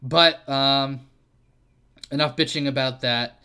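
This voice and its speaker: A man's voice: medium pitch, slow, smooth. A man hosting a casual solo podcast, rambling and self-deprecating, with plenty of fillers and swearing. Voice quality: fairly monotone delivery. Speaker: podcast host.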